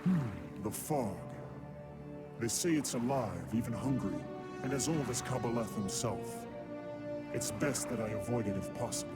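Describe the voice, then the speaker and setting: A man plays a brooding demon lord from a fantasy game, speaking in short, intense lines at an ordinary mid pitch. Loud orchestral music swells behind him. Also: moderate pace, natural voice. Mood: tense. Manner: terse, plain, intense. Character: brooding male demon lord turned rebel, terse. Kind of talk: video game cutscene acting. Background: loud game score music.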